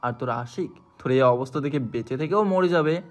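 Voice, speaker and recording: A man's voice: mid-range voice, brisk, lightly acted. Menacing, vengeful young villain played by a man. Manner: taunting, threatening. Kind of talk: audio story acting.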